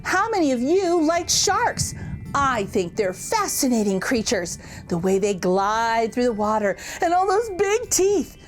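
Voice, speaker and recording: A woman reads a picture book aloud to children, pausing to ask her listeners questions and react with delight. Her high voice swoops in a sing-song way, and she takes her time. Faint music sits under her reading. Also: big pitch swings, low-quality recording. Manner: warm, exclamatory, asks children questions. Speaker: children's storytime reader.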